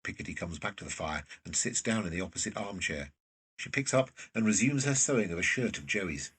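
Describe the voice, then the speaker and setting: A narrator with a very husky low voice reading scripted stage action for an audio drama, slightly distant from the mic. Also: expressive, brisk, fairly bright tone.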